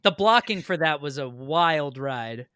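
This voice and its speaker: A man's voice: a little high, expressive, a little slow, bright, forceful tone, clean tone, no echo. A man from the northern United States, a podcast guest explaining and telling anecdotes in wry, casual chat.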